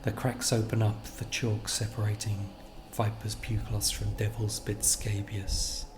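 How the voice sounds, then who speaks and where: A man narrating a scripted literary story in a measured, reflective manner. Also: lowish voice, flat delivery, over music. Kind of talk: scripted literary narration of location-based stories.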